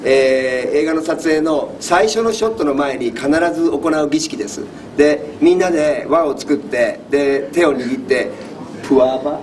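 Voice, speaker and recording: A man's voice: on the high side, quick pace, a little mellow. A man interpreting for a film crew, hesitant and searching for his words. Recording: noisy set, heard from a distance.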